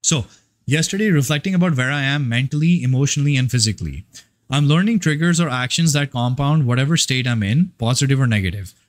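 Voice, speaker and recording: A man's voice: medium pitch, lively intonation, fast. A man who works as a fitness and life coach, giving a casual motivational monologue in a rambling, profane and emphatic way.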